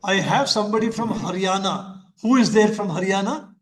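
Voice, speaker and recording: Older Indian man lecturing slowly. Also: high-pitched, expressive, very noisy. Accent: Indian English. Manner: slow, emphatic delivery with frequent repetition. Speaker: professor giving an online teacher-training lecture. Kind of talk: lecturing and questioning participants. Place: lecture hall.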